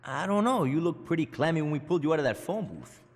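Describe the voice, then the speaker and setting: A man's voice: fairly high pitch, very animated, fast talker, long reverb tail. A man playing a sarcastic young cartoon ghost hunter who talks in mocking wisecracks. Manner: mocking, dry humour.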